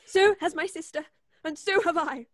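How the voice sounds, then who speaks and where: A prim, high-strung woman in an old-time radio thriller. Her voice is high and recorded dry. She speaks emphatically, distressed and indignant, in exclamatory period style. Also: normal speed, dry recording. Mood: indignant, sceptical.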